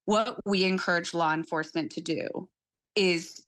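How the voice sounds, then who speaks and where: Lowish-voiced woman interviewee, dry sound. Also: fairly even intonation, moderate pace, fairly bright tone, no echo. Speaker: victim advocate interviewee. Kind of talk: explanatory interview answers.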